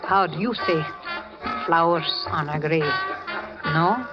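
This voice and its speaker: A woman's voice: low-pitched, slow. An actress playing a tender, teasing, wistful young Cuban woman with a Spanish accent in 1940s radio drama. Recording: muffled old radio recording with music.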